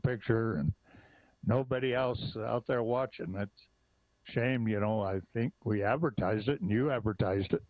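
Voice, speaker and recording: Male casual talker, lower register, dry close sound over a narrow phone-like connection. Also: normal speed, no echo. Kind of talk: casual chat.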